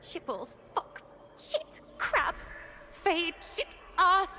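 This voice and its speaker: A scrappy, irreverent young woman in a fantasy game. Her voice is extremely high and bright, panicky and foul-mouthed. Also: sing-song, very slow, heavily acted. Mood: panicked. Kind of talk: dramatic fantasy game acting.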